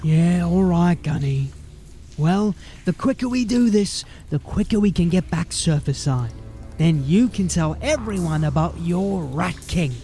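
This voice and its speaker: An actor playing a sardonic, coarse, bullying sewer rogue in a fantasy audio drama. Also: male voice, sits high, expressive, unhurried, warm tone, faint music behind. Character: gruff, bullying, sarcastic watchful sewer-crawling rogue, male.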